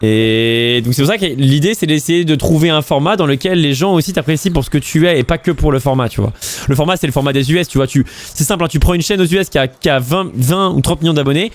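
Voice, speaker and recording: A man's voice: a little high, quick pace. A man hosting a stream, chatting casually while he plays a game, rambling with repeated words and fillers and cursing freely. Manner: swears casually. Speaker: streamer host. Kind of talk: casual chat while gaming.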